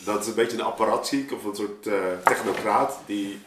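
A man with a fairly low voice speaks in a casual, thoughtful conversation. He often halts to find his words, and the room echoes noticeably around him. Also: moderate pace, background noise. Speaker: musician in a podcast discussion. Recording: roomy, echoing sound.

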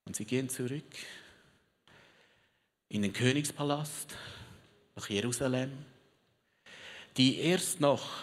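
A preacher delivers a sermon to a live crowd, telling the story theatrically and breaking off into chatty, joking asides. The delivery is unhurried and drawn out. The voice rings through a large hall with a long reverb tail. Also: medium pitch. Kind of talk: lively sermon with audience participation.

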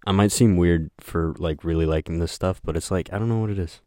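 A young man hosting a solo podcast, talking in a flat, monotone voice that rambles, with lots of hesitation and filler words. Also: low-pitched, a little fast. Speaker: podcast host. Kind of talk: casual solo podcast chat.

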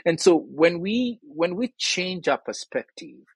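A man's voice: high voice, animated, unhurried, slightly rough, dry recording. A man with a Nigerian accent giving earnest, emphatic, conversational answers as an interview guest, telling anecdotes and acting out quoted dialogue. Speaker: interview guest, theatre artist and scholar.

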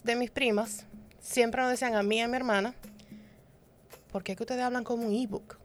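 A woman from the Dominican Republic on a panel, telling stories with many restarts and tag questions. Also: a little high. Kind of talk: conversational panel discussion.

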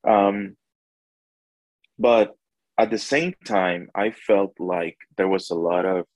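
A man's voice: deep voice, takes it slowly, a little mellow, no echo. A man from El Salvador with a Salvadoran accent, talking conversationally as an interview guest and often repeating words as he searches for phrasing. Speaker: interview guest, restaurant owner.

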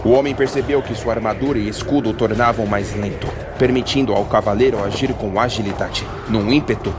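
A man narrating a fantasy audiodrama in a steady, dramatic storytelling manner. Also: fairly low pitch, fairly quick, over music.

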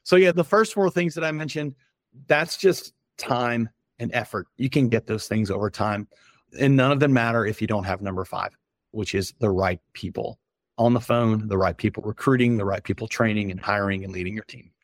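A slightly hoarse man, a company founder, giving frank, casual advice as a podcast guest and listing his points in a loose conversational way. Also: fairly low pitch, normal speed, dry recording. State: a little hoarse. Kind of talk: casual business podcast talk.

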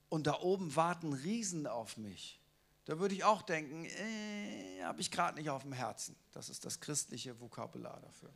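A man's voice: fairly high pitch, creaky, a bit bright. A man giving a casual, colloquial sermon who keeps asking the listeners rhetorical questions. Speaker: preacher.

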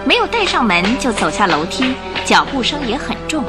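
Woman, radio drama narrator, expressive storyteller, very varied intonation, prominent background music. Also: on the high side, fairly quick.

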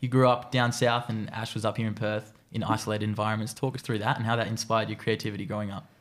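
A man's voice: on the low side, level tone, quick pace. A man hosting a podcast, reading an upbeat introduction and then asking guests casual questions. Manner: upbeat, reads a glowing introduction. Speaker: podcast host.

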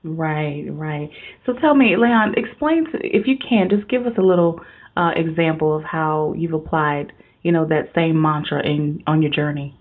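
Female podcast host, lowish voice, effusive and upbeat, motivational interview delivery. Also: moderate pace, breathy edge, narrow, tinny audio. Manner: enthusiastic, effusive.

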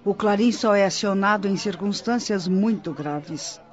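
A man's voice: high voice, unhurried. An actor playing an earnest male resident of a spirit colony in a radio drama. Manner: earnest, informative. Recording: dull lo-fi radio recording with music.

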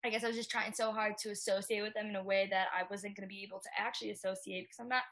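A woman in her twenties from the United States, talking casually on a student podcast and filling her speech with "like", acting out her own quotes with big leaps up in pitch. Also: rapid-fire. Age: 20s. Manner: animated, says "like" constantly, acts out quotes with big jumps. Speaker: student guest.

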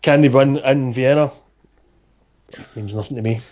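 A man's voice: mid-range voice, measured pace, darkish tone. A man chatting casually about football on a podcast, with hesitant restarts. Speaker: podcast co-host. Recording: dull lo-fi sound.

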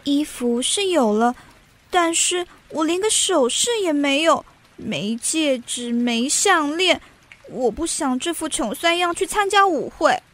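An actress playing a demure, self-pitying young wife who longs for finery in a radio drama, speaking in a plaintive, delicate way. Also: female voice, sits high, expressive, a little slow, a bit bright. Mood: complaining. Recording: music behind the voice.

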